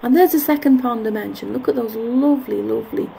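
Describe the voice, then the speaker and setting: A woman's voice: fairly high pitch, fast, mellow, poor audio. A woman giving a casual, musing narration of a park walk, correcting herself as she goes, recorded outdoors on a handheld camera. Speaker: vlogger. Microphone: handheld camera's built-in mic. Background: clear park ambience noise.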